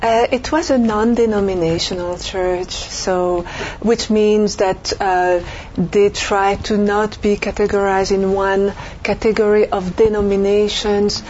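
A woman's voice: medium pitch, flat delivery, measured pace. Woman, lots of uhs, kinda muffled recording, faint hiss. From Belgium. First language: French. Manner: hesitant, many "uh" fillers, soft trailing off. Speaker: interview guest. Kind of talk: recorded interview.

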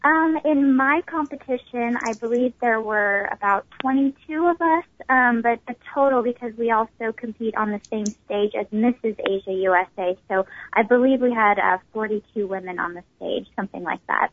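A woman's voice: on the high side, moderate pace, hardly any pauses. Tiny-voiced young woman on a phone line. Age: 20s. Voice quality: small, tiny voice. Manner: polite, upbeat, many ums. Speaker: pageant winner guest. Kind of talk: phone interview.

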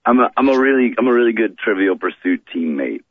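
A man with a very deep voice, a touring musician as a radio guest, talking unhurriedly with many fillers and restarts. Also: very animated, fast, no echo. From Florida, raised in rural Maryland. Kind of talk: radio interview by phone. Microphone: phone. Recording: tinny phone line.

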